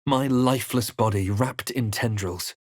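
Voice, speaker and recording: An actor playing a brooding fantasy adventurer in a game, narrating his quest in a grave, measured, deliberate way. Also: medium pitch, no echo, clean studio sound, natural voice. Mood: somber, reflective. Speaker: video game player-character voice. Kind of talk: dramatic first-person game narration.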